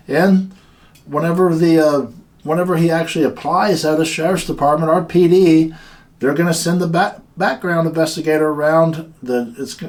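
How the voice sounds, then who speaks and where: A man who runs a security company, telling a story from work and giving guards earnest, plain-spoken warnings. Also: fairly high pitch. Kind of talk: casual instructional talk.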